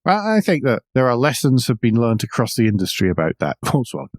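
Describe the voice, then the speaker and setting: A man's voice: medium pitch, huge pitch swings, quick pace, dry recording, clean studio sound. A middle-aged man co-hosting a podcast, chatting casually and wryly about the news. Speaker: podcast co-host. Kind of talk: casual discussion of car news.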